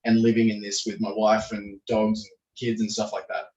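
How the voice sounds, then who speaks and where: Croaky man in an echoey room. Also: lowish voice, completely flat delivery, moderate pace, darkish tone, dull audio. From Australia. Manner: rambling, hesitant, many fillers and restarts. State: croaky voice, clearing throat. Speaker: podcast guest. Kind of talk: casual interview answers. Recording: echoey room, distant from the mic.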